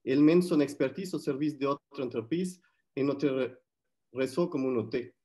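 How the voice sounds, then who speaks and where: A man from Mexico with a Mexican accent, co-hosting a webinar and presenting in a friendly, slightly halting way over a video call. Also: mid-range voice, mellow. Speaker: webinar co-host.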